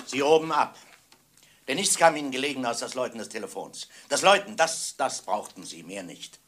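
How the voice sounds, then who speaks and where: A man playing a stern, methodical police inspector in a German radio crime drama, speaking emphatically and deliberately. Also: medium pitch, animated, a bit bright, lightly acted. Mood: accusing. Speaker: actor in a radio crime play.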